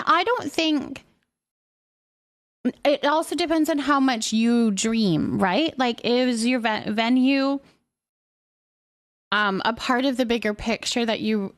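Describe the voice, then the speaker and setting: High-voiced woman chatting on a livestream. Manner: chatty, affirming, often repeats her phrases. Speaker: livestream host. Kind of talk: casual livestream chat, reading and answering viewer comments.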